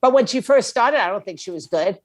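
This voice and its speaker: A woman speaks as an interview guest in a conversational, emphatic way. She drives points home with repetition and rhetorical questions. The sound is dry and close, with a mid-range pitch. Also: expressive, brisk, no room sound. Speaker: interview guest, music attorney and label owner.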